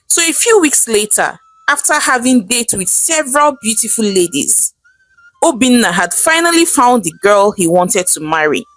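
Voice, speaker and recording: Expressive high-pitched Nigerian woman storyteller. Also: low-quality recording. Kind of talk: animated scripted folktale narration.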